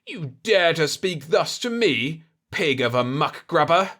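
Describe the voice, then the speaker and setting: A man's voice: fairly high pitch, sing-song, measured pace, sharp tone, pitched above the performer's own voice, heavily acted. A man voicing a burly, pompous villain potentate in an audiobook story, florid and theatrical with his threats. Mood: outraged, menacing.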